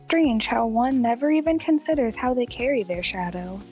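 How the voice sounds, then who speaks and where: An actress playing a dry, skeptical radio co-host in a radio play, delivering wry, deadpan remarks. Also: female voice, a little high, normal speed, soft background music, close to an everyday voice.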